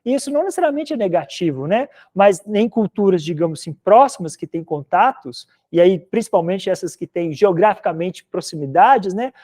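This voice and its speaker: A man's voice: high voice, expressive, fairly quick, hardly any pauses, mellow. A man from Minas Gerais with a mineiro accent, lecturing a philosophy class in a loose, conversational way full of tag questions. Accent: Minas Gerais Portuguese. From Minas Gerais, Brazil. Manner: rambling, conversational, frequent "né?" tags. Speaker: philosophy teacher. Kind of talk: recorded online class lecture.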